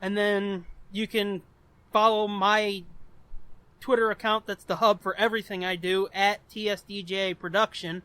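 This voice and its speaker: A man's voice: sits high, little pitch movement, unhurried, bright, forceful tone, clean tone, no room sound. A man co-hosting a podcast in casual, profane, rambling chat. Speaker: podcast co-host.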